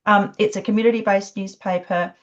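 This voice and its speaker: A woman's voice: medium pitch, fairly even intonation, brisk. Enthusiastic woman host, dull audio. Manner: bubbly, enthusiastic promoting. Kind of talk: casual online session hosting. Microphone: video call. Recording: dull lo-fi video call audio.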